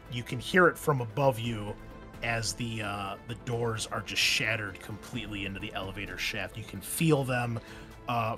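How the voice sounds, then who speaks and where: Low-voiced man running a tabletop game. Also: fairly bright tone. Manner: halting, conversational improvised narration. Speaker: game master. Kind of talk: narrating a tabletop roleplaying game. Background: background music.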